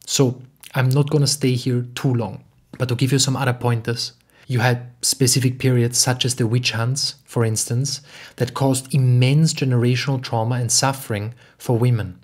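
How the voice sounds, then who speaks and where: A young man from Austria speaking in an earnest, emphatic way, slowly repeating phrases as he talks alone to the camera at home. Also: mid-range voice, level tone, slightly rough. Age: young adult. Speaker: podcast host and spiritual teacher. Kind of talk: reflective solo talk. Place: home living room.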